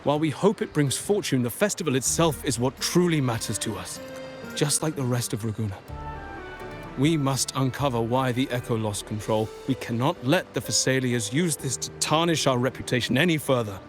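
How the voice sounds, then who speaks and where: A man voicing a courteous, formal nobleman from a merchant family in a game cutscene, speaking with smooth persuasion over game music. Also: medium pitch, moderate pace, natural voice. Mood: earnest.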